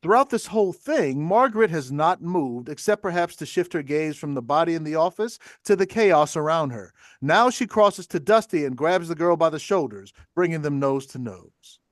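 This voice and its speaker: Casting: male stage-direction reader, fairly high voice, dry close sound. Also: animated, moderate pace, few pauses, no room sound. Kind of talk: scripted narration at a table read.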